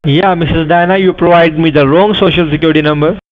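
A man's voice: fairly high pitch, fairly even intonation, quick pace. Insistent man on a tinny phone line. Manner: insistent, formal, repeats instructions. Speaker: scam caller posing as an officer. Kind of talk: scripted phone call.

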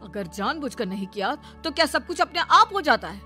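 A woman's voice: high voice, sing-song, fairly quick, bright, forceful tone, soft background music, heavily acted. A woman voicing an exasperated young husband who complains and reproaches in a family cartoon. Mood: angry reproach. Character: exasperated young husband, an office worker, quick to blame. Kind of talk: animated family drama voice acting.

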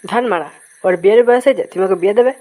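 Woman as cartoon village father, grumpy, foul-mouthed, slangy, scolding, medium-pitched. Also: very fast, warm tone, lightly acted. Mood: exasperated. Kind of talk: comic Bengali cartoon dubbing.

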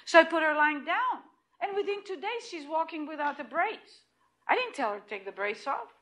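A middle-aged woman with an Israeli accent, giving an animated lecture in a room, heard from a distant camera. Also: high voice, moderate pace. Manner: animated and emphatic, with restarts and repeated points. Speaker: lecturer at hospital grand rounds. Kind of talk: lecture presentation.

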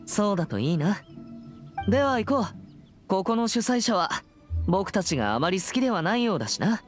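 Woman doing stern earnest anime teen boy, formal talk, lively pitch, bit of music under. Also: close to an everyday voice. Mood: thoughtful. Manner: serious, formal, principled. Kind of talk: anime game voice acting.

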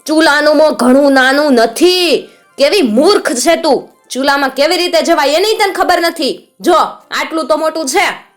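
A woman voicing a cruel old witch who barks orders in a high, shrill voice. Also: moderate pace, faint music behind, lightly acted. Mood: scolding. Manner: shrill scolding, barking orders.

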